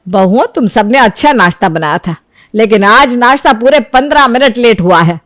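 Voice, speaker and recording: A woman's voice: a little high, expressive, moderate pace, a bit bright, no echo, clean studio sound. A woman voicing a strict middle-aged mother-in-law who speaks firmly and commandingly. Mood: stern. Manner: firm, commanding.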